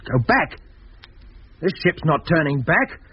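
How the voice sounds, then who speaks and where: An actor playing a stubborn, hot-headed spaceship designer in a 1950s radio drama, impatient, combative and emphatic. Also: male voice, a little high, very animated, takes it slowly, husky, full character voice. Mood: defiant, agitated. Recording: dull, noisy 1950s radio recording.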